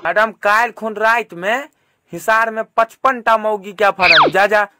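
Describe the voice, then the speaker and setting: A man's voice: high voice, unhurried, loud music behind the voice. A man voicing a bumbling, lazy police inspector in an amateur cartoon comedy, with a Maithili accent. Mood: eager, deferential. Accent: Maithili, from Mithila.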